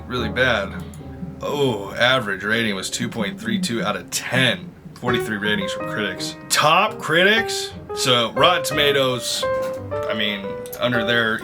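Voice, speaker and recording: A young man giving casual reaction commentary in an informal, slangy, exclaiming way as a video host. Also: medium pitch.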